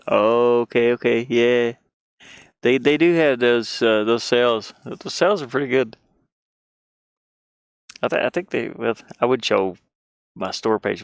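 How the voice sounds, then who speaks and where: A man chatting casually on a game livestream, rambling and thinking aloud in a halting way. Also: mid-range voice, clean tone, no echo, studio quality. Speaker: game streamer and indie game developer.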